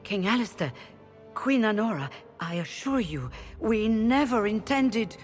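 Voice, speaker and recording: An actress playing a dignified but anxious leader of mages in a video game, formal and pleading. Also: female voice, mid-range voice, animated, unhurried, soft background music, close to an everyday voice. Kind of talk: video game cutscene acting.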